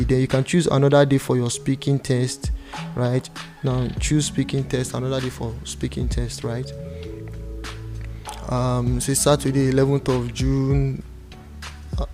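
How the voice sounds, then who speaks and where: Young nigerian guy doing a screen tutorial, nigerian accent, monotone, talks to himself, webcam mic, music in the back. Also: mid-range voice, normal speed, pauses often. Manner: talks through each step aloud, muttering to himself at times. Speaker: tutorial presenter. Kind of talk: screen-recorded walkthrough. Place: indoor room.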